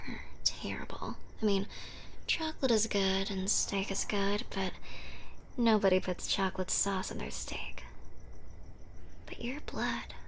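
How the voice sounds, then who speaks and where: A woman playing a seductive, blood-craving modern vampire in an intimate, wry ASMR roleplay, speaking in breathy whispers. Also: medium pitch, a little hiss or hum, close to an everyday voice.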